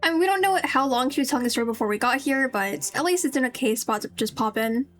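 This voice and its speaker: A woman's voice: high voice, expressive, fast, fairly bright tone. A woman streamer giving casual running commentary on a game. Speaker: live streamer, VTuber.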